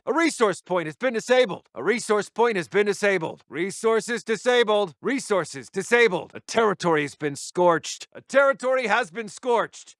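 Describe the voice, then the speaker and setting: A dutiful wartime intelligence officer from a strategy game, pitched high for a man. He reports in clipped, formal military phrases, recorded close and dry with no room sound. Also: fairly bright tone, no echo. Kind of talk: video game voice lines, battlefield radio reports.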